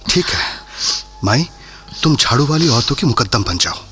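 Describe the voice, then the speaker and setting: A man's voice: medium pitch, big pitch swings, moderate pace, sharp tone, breathy edge, soft background music, heavily acted. A man acting a principled freedom fighter in a Hindi radio play, formal, earnest and respectful. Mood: concerned.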